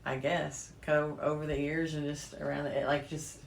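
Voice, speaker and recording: A woman's voice: deep voice, little pitch movement, normal speed, vocal fry. A middle-aged American woman co-hosting a podcast in casual, bantering conversation. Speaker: podcast co-host. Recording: echoey room, faint background noise.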